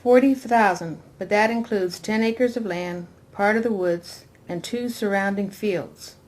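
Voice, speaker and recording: A woman's voice: medium pitch, measured pace, darkish tone, natural voice. A woman reading the dialogue of an easygoing young 1960s commune woman in a dramatic novel reading. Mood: keen.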